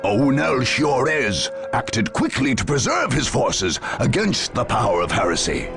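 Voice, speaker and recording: Male narrator, war-game cutscenes: grave, solemn chronicler, lively intonation, creaky edge, music underneath. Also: mid-range voice. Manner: solemn, measured storytelling. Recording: music behind the voice.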